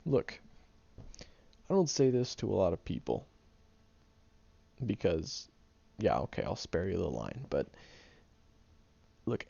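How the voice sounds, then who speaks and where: Tsundere young guy voiced by a man: low, dark and gravelly, blunt and foul-mouthed with a soft heart, a bit groggy. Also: dry recording, muffled recording, full character voice. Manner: blunt and profane, gentle underneath. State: groggy, just woken up. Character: gruff, sarcastic young man who secretly cares. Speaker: voice actor in an audio roleplay. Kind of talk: audio roleplay monologue to the listener.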